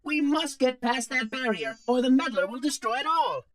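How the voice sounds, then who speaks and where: A man's voice: extremely high pitch, normal speed, a bit bright. A man voicing a fussy, chatty, polite robot caretaker in a video game, with prim, precise diction. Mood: fretful. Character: fussy, chatty, polite floating robot monitor of an ancient installation. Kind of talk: video game cutscene voice acting.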